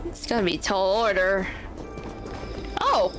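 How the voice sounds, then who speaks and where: A woman's voice: medium pitch, very animated, slow. A woman giving casual, reactive commentary as she streams a game playthrough. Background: game music.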